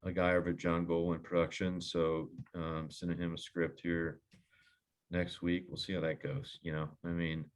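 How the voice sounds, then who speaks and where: A man talking casually and haltingly on a video call, as a scriptwriter in an online meeting. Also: low-pitched, completely flat delivery.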